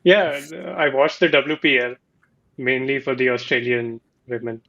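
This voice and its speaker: A man's voice: mid-range voice, unhurried. A man appearing as a guest on a casual online chat, giving short, matter-of-fact answers. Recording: dull lo-fi mic.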